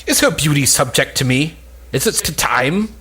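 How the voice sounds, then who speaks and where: A man's voice: on the high side, animated, normal speed, bright, forceful tone, breathy edge, exaggerated voice. A young man reading the part of a jealous, probing noblewoman in an Elizabethan stage comedy, with emphatic questioning. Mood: challenging. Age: young adult.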